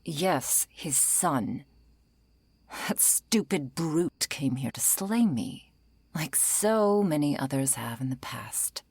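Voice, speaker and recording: Woman playing a low, breathy, unhurried vampire lady. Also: animated, no room sound, full character voice. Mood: cool, resentful. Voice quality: soft, breathy, often falling into whisper. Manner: unhurried, wry, politely menacing. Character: female vampire, century-old, composed, menacing yet lonely. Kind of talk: ASMR roleplay, intimate scripted monologue.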